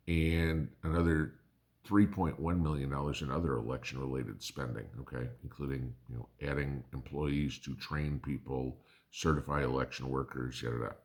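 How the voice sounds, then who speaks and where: A hoarse man in his fifties, a prepping channel host, talking bluntly in a casual opinion monologue and often tagging "okay?". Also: a bass voice. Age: 50s. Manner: blunt, combative, repeats "okay?".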